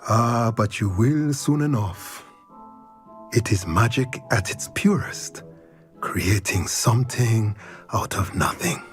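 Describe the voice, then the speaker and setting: Formal shop proprietor, low voice. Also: male voice, expressive, soft background music. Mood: courteous. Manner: formal, measured, at times hushed. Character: polite male shop proprietor, courteous and knowledgeable. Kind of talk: video game cutscene.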